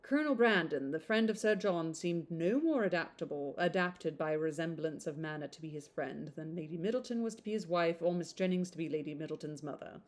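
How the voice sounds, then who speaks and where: Lady with a lowish voice reading an old novel out loud, casual and expressive, trips on words sometimes. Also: brisk, few pauses. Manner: stumbles over words and rereads them. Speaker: host reading a classic novel aloud. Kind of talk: informal read-aloud with chatty asides.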